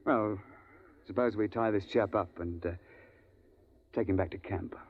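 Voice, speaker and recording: An actor playing a seasoned big-game hunting guide who also narrates, in a 1950s radio drama, clipped and dryly ironic. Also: male voice, fairly low pitch, a little slow. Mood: wry. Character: seasoned male time-travel big-game hunting guide, dry and unflappable. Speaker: narrator and lead character. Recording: dull old radio recording with music.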